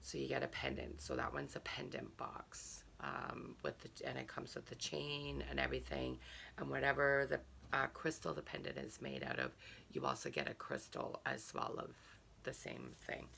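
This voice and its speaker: A hoarse woman with a gravelly voice, chatting through an unboxing with many fillers and reading a leaflet aloud. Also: rumbling low, expressive, normal speed, fairly bright tone, a little hiss or hum. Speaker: subscription box reviewer. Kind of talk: casual unboxing chat, reading a card aloud.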